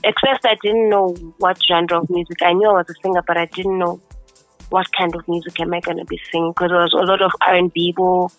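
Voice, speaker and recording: A woman's voice: fairly low pitch, little pitch movement, fast, dry recording, faint music behind. Woman with a level voice on a phone line. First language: Xhosa. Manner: thoughtful, self-assured, with frequent restarts. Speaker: singer being interviewed. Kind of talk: phone interview. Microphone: telephone.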